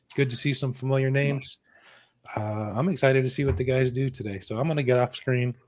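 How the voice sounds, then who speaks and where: A man's voice: mid-range voice, fairly even intonation, fairly quick, a little mellow. Upbeat host man, under the weather. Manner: upbeat, welcoming, reads out viewer names. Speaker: host reading super chats. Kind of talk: casual live-stream chat.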